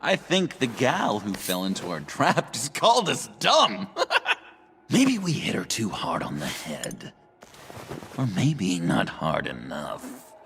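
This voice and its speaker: An actor playing a manic, gleefully menacing clown villain in a video game, theatrical, taunting and erratic. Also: male voice, lowish voice, wildly swooping pitch, takes it slowly, slight background noise, exaggerated voice. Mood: mocking. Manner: theatrical, taunting, erratic swings from hush to shouting. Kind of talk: dramatic video game acting. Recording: dramatic music under the voice.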